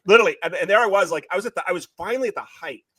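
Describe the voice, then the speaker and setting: Man, author being interviewed, very quick pace, emphatic, opinionated, repetitive for stress, dry close sound. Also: sits high, sharp tone, slightly rough, no room sound. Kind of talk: passionate conversational pitch of a book series.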